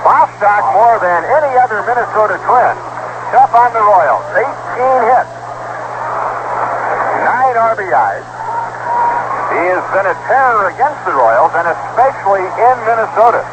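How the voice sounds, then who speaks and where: A man's voice: high voice, sing-song, unhurried, highs cut off, very noisy. An American man calling baseball play-by-play live on the radio in a 1970s broadcast. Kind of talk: live sports radio commentary.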